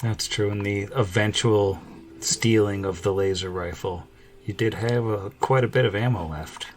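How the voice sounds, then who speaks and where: Low-voiced man chatting as game master. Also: fairly even intonation, normal speed, a little hiss or hum. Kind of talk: casual chat.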